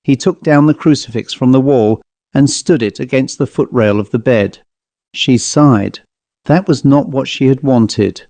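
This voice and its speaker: A man narrating a classic ghost story in a measured, deliberate reading. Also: mid-range voice, dark tone, no room sound. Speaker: audiobook narrator.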